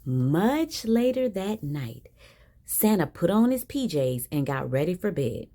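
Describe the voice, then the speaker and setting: A woman reading a children's picture book aloud in an expressive style with dramatic emphasis. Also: lowish voice, a little slow. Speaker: storybook reader. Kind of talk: animated children's read-aloud.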